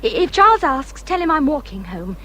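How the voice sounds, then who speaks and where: A woman playing a peevish, self-pitying young married English gentlewoman in a period radio drama, with a plaintive, complaining way of talking. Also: high voice, sing-song, a little fast, a little hiss or hum, exaggerated voice.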